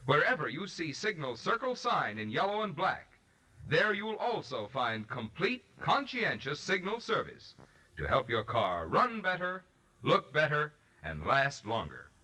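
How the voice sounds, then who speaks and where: Casting: man as 1940s radio pitchman, lively swooping delivery, crisp bright edge, polished salesmanship. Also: unhurried. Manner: polished, promotional. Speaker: radio announcer. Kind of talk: 1940s radio sponsor read.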